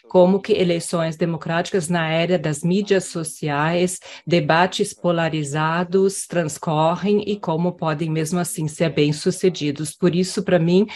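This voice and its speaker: A woman interpreting a guest's talk live, in measured phrases with "eh" pauses, over a video call. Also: on the low side, a little mellow. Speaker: simultaneous interpreter.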